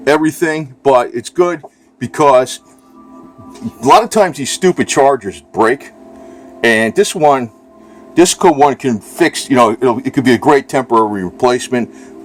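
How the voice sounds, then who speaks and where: Rambling, informal man talking casually. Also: on the low side. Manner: colloquial, rambling, informal slang. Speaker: video creator. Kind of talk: casual rambling product review vlog. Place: indoors at home.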